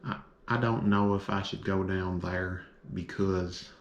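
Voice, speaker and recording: A slow-drawling rural Southern man with a Middle Tennessee accent, as a man puts him on, low-voiced and folksy. He draws each word out unhurried. The sound rings a little in an echoey room. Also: steady tone, highs cut off. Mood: musing.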